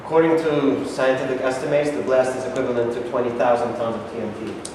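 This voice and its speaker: A man's voice: mid-range voice, level tone, fast, close to an everyday voice. Guy playing insistent military adviser in a stage play, mid voice, distant, lots of room noise. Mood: earnest, reporting. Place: stage reading in a hall. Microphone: far camera microphone. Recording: echoey hall, distant camera, noisy.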